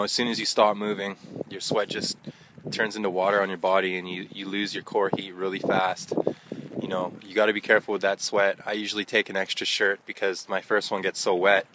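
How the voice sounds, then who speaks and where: Low-voiced young man, windy outdoor vlog. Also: little pitch movement, a little fast. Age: young adult. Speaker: hiking vlogger. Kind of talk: casual vlog narration to the camera. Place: outdoors on a wet, windy mountain trail. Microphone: handheld phone at arm's length. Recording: windy, noisy handheld phone recording outdoors. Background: loud wind and rain noise.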